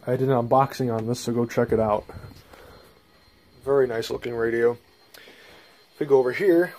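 Guy rambling through a casual vlog on his phone, creaky voice, pretty even tone. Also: mid-range voice. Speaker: hobbyist video presenter. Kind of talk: casual show-and-tell vlog. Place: small bedroom indoors. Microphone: handheld phone camera.

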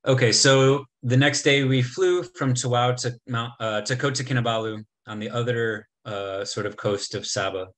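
A man's voice: medium pitch, normal speed, hardly any pauses, fairly bright tone. A man in his thirties giving a casual travel slideshow talk over a video call, chatty, with many ums and restarts. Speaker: travel presenter. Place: indoor room, remote talk. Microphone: webcam video call.

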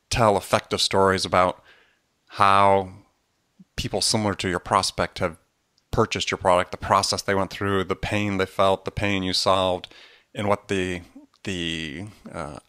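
A man's voice: deep voice, a bit bright, no room sound, clean studio sound. A man speaking as a podcast host, explaining things conversationally in a rambling way with frequent asides. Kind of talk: conversational book discussion on a podcast.

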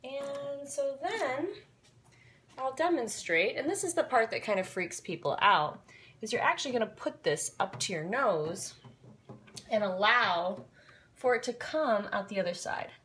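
A woman in her thirties, a little hoarse from a cold, chatting casually with lots of ums as she shows a home remedy in her kitchen. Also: fairly high pitch, animated, unhurried, a bit bright. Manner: chatty, with many ums and uhs. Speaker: natural health blogger. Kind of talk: casual how-to vlog. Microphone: built-in camera mic, close and sometimes farther off.

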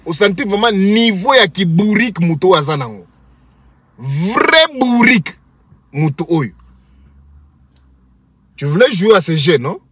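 A man's voice: high-pitched, big pitch swings, measured pace, pauses often, fairly bright tone, a little hiss or hum. A man, giving a scornful, insulting commentary from inside a parked car to his phone camera. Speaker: commentary vlogger. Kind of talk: mocking commentary vlog in Lingala and French. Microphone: phone camera at arm's length.

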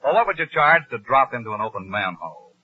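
A man's voice: medium pitch, animated, fast talker, very noisy. An American man hosting a 1940s radio show, announcing and trading comic banter. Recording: old muffled radio broadcast.

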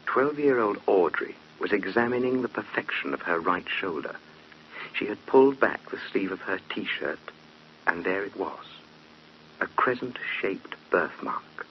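A man's voice: low-pitched, animated, measured pace, a little hiss or hum. An actor with an English accent playing a young police detective who narrates his case in a radio drama. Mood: reflective narrating. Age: young adult. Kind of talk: 1970s radio drama first-person narration. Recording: muffled, humming old radio recording.

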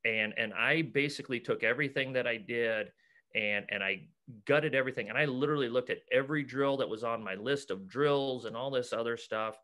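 Middle-aged American male coach, forceful bright tone, conversational, stop-and-start delivery with repeated words. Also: medium pitch, a little fast. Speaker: basketball coach and clinic organizer. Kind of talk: casual video-call interview. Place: cluttered room at home, on a video call. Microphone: video call.

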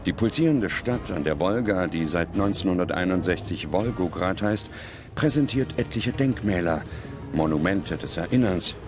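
A man reading scripted documentary narration in a solemn, measured manner with dramatic pauses. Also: deep voice, lively intonation. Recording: loud music under the voice. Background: music.

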